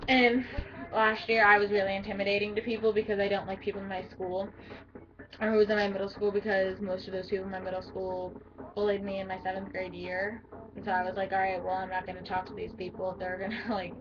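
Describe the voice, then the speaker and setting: Blunt teen girl with a deep voice. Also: flat delivery, fast, far from the mic, dull audio, noisy background. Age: teens. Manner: blunt, rambling, lots of fillers. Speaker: vlogger. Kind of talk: casual talking-to-camera vlog.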